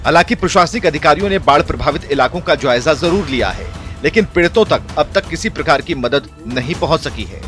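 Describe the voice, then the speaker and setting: A man giving scripted news narration in a formal, measured way. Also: on the high side, expressive, over music. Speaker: news reporter.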